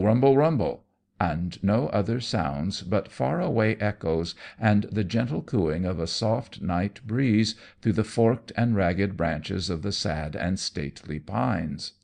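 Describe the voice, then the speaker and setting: A man's voice: on the low side, gravelly, a little mellow. A man reading gothic fiction aloud in a dramatic, theatrical, suspenseful storytelling manner. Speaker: audiobook narrator.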